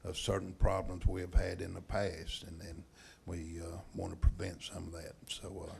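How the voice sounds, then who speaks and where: Deep gravelly man, halting. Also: monotone, a little hiss or hum. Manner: halting, repeats words. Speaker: school board member. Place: school board meeting room.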